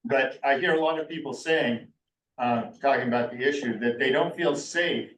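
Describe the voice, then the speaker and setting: A man speaking up at a community planning meeting, asking questions with frequent pauses and restarts. His voice carries from a distance across a reverberant meeting room. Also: mid-range voice, fairly bright tone. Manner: hesitant, questioning, many fillers. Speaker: public commenter. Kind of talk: public comment at a community meeting. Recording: echoey room, distant mic.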